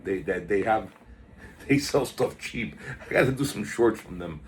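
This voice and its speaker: A man's voice: lowish voice, lively intonation, unhurried, slight background noise. A man hosting a casual stock market video, chatty and drifting off topic while reading out stock moves, recorded on a phone. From South Florida. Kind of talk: casual rambling market commentary.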